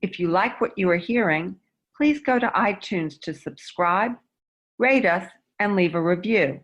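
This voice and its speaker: A woman's voice: mid-range voice, expressive, unhurried, fairly bright tone. A woman delivers a scripted podcast outro in an upbeat promotional tone. The recording sounds a little dull and lo-fi. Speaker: podcast host. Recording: slightly dull lo-fi audio.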